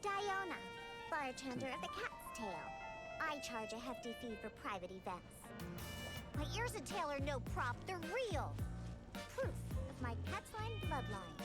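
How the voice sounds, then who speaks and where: A woman's voice: high-pitched, very animated, measured pace. A woman voicing a proud, prickly young cat-girl bartender in a video game, haughty and brusque. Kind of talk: English game voice acting. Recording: loud game music behind.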